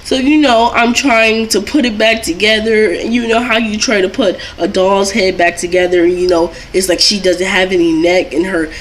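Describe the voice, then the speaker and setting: A woman's voice: mid-range voice, steady tone, moderate pace, few pauses, low-quality recording. Young woman rambling casually over background noise. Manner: rambling, circles back and repeats herself. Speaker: doll collector vlogger telling a story. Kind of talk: casual chatty storytime.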